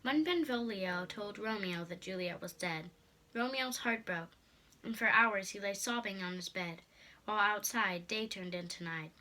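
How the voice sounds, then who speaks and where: A woman's voice: medium pitch, moderate pace, sharp tone, low-quality recording. A female storyteller reading a storybook aloud in a dramatic narrating style.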